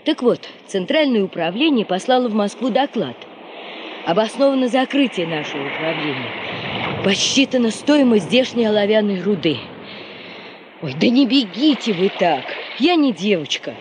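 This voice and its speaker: A woman's voice: mid-range voice, big pitch swings, normal speed, lightly acted. A woman playing a fretful older colleague of a boss in a 1970s Soviet radio play. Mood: anxious, confiding. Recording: noisy 1970s radio play, engine hum.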